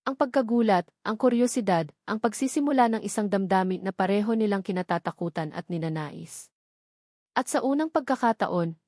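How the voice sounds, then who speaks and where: A woman reading a scripted dramatic story as an audiobook narrator, in a measured, emotive way. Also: mid-range voice, darkish tone, dry recording, studio quality.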